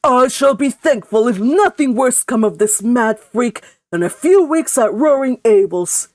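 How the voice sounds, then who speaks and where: A woman voicing a stern, disapproving older uncle who speaks gravely. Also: fairly high pitch, expressive, measured pace, darkish tone, no room sound.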